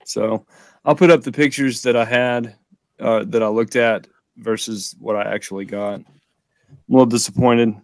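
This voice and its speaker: A man's voice: mid-range voice, steady tone, moderate pace, no room sound. A man co-hosting a podcast in casual conversation. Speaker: podcast co-host. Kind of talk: casual chat about collectibles. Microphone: close mic on a video call.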